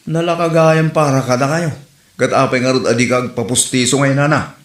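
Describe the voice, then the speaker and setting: A man's voice: mid-range voice, brisk, natural voice. A man with an Ilocano Filipino accent playing a nagging, scheming village husband in a comedy skit. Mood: mocking, insistent. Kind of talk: Ilocano comedy drama acting. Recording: roomy echo, music behind.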